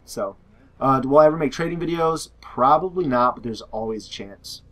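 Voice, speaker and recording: A young man with a hoarse, sore-throated voice talks through viewer questions in a relaxed, rambling way. He leans on "uh" and "like," restarts his sentences and drifts from point to point. His pitch and pace stay in an ordinary middle range. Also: normal speed. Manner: rambling, filler-heavy, self-correcting. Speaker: gaming YouTuber. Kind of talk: casual Q&A reading out viewer questions.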